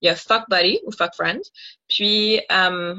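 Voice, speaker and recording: A woman's voice: lowish voice, fairly even intonation, a little slow, sharp tone, dull audio. A young woman from Ottawa, a doctoral student guest in an interview, explaining at length with many fillers. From Ottawa, Canada.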